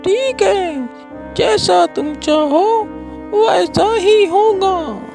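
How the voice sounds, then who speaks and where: A woman voicing a solemn magical bird that grants wishes in a cartoon, in a measured, admonishing way. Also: very high-pitched, gravelly, music in the background, heavily acted. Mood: granting. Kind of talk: Hindi moral cartoon story acting.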